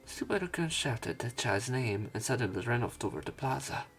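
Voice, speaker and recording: A man's voice: mid-range voice, expressive, moderate pace, fairly bright tone, soft background music. A man reading visual novel narration aloud for a let's play.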